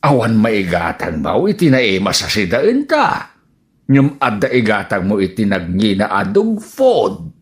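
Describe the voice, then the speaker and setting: Man acting a slow, whiny, swooping Ilocano husband. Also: lowish voice, huge pitch swings. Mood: sulky. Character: jealous, whiny, stammering husband in comedy. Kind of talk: Ilocano radio comedy drama acting.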